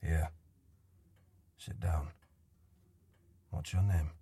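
An actor playing a weary old man telling a haunting tale in an audio drama, measured, hushed and solemn. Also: extremely low pitch, fairly even intonation, husky, exaggerated voice. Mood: quiet, hospitable. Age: older.

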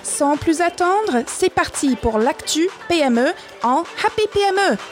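A woman's voice: high voice, lively intonation, measured pace, soft background music. A woman hosting a business podcast, speaking French as a non-native with an English-speaking accent, upbeat and chatty. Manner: upbeat, chatty, slightly scripted. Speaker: podcast host, business founder. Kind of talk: podcast talk and interview.

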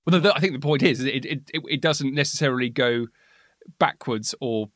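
A man's voice: medium pitch, a little fast, a bit bright, no room sound, studio quality. A man co-hosting a casual chat, often restarting his sentences as he thinks aloud.

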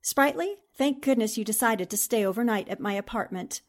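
A woman acting a brisk, eager newspaper reporter in a 1940s-style radio drama. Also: on the high side, lightly acted. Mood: brisk, bossy.

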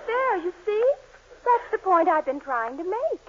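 An actress playing an earnest, gently persistent young American sweetheart in a 1940s radio sitcom. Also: female voice, very high-pitched, sing-song, unhurried, very noisy, heavily acted. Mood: probing, pleading. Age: young adult. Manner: earnest, emphatic, pleading. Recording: muffled 1940s radio recording.

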